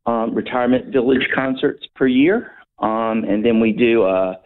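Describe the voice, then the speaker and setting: Brief: Southern American man, sixties, halting friendly guest, tinny phone-line sound. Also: medium pitch. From North Carolina, USA. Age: 60s. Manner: hesitant, many ums and restarts. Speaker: radio guest, brass band member. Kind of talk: casual radio interview.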